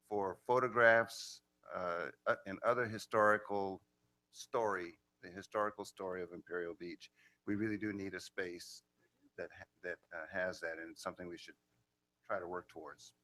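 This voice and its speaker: A man's voice: deep voice, steady tone, normal speed, sharp tone. A council member, a man, making earnest comments with some fillers.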